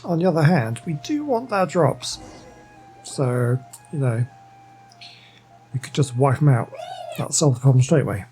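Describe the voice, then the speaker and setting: A woman's voice: very deep voice, lively intonation, a little slow, pauses often, warm tone, soft background music. A woman giving casual, understated commentary as she plays through a video game, musing aloud. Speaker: gaming streamer.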